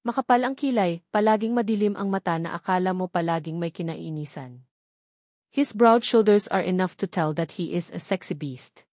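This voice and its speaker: A woman's voice: fairly low pitch, moderate pace, a little mellow, no echo, clean studio sound. A woman reading a scripted romance novel aloud as a first-person narrator. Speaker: audiobook narrator.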